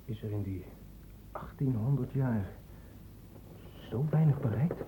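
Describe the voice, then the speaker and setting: A man's voice: mid-range voice, a little slow, a little hiss or hum, close to an everyday voice. An actor playing a stubborn, defiant young man in a radio play, with a dismissive, sullen manner. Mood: stubborn, subdued. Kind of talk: Dutch radio play acting. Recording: muffled old radio play recording.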